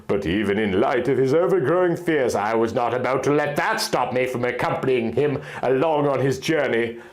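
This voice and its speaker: A man's voice: mid-range voice, normal speed. A man narrating a piece of fan fiction in a theatrical, exaggerated way. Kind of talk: dramatic reading of fan fiction.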